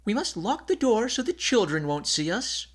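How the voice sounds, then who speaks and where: A busy storybook householder, acted by a man, fussing over holiday decorations. The voice is lifted high. The delivery is fussy and eager. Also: very animated, normal speed. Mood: fussy excitement.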